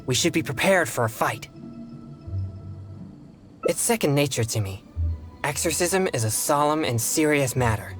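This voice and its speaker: A man playing an earnest, polite teenage boy exorcist in a video game, speaking in a formal, measured way. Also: fairly high pitch, expressive, soft background music, close to an everyday voice. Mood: serious, focused.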